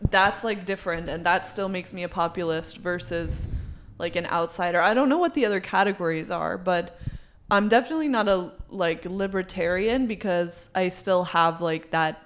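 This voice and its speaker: Brief: young woman co-host, casual podcast chat, filler-heavy, quick to laugh. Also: on the low side. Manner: chatty, says "like" often, laughs easily.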